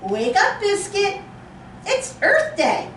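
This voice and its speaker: Woman reader as a cheerful little girl, sing-song and lively, in a roomy space off-mic. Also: very high voice, takes it slowly, a little hiss or hum, heavily acted. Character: cheerful little girl talking to her puppy. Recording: roomy echo, distant mic.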